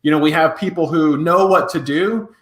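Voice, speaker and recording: An upbeat man hosts a podcast in an energetic, lively way, his voice sitting a little high. The sound is roomy, with a clear echo around him. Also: normal speed. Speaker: podcast host. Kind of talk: podcast interview.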